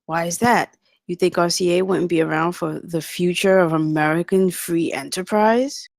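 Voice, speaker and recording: A woman's voice: fairly low pitch, steady tone, moderate pace, gravelly, a little mellow, no room sound, studio quality. A woman reading the part of a brash, cynical male executive in a script table read, with a blunt, chummy manner. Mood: brash, surprised.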